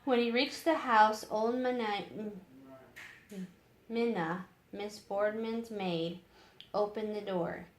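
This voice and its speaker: A woman's voice: medium pitch, steady tone, slow. A woman narrating a storybook as a read-aloud for story time. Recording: roomy echo with faint background noise.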